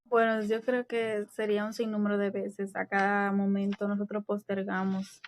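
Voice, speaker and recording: Composed Dominican woman, measured tone. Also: mid-range voice, monotone, slight background noise. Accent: Dominican Spanish. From Dominican Republic. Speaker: podcast co-host.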